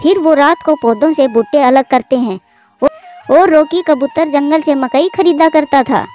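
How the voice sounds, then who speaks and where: A woman's voice: high voice, moderate pace, darkish tone, faint music behind. A woman reading scripted narration for a children's story.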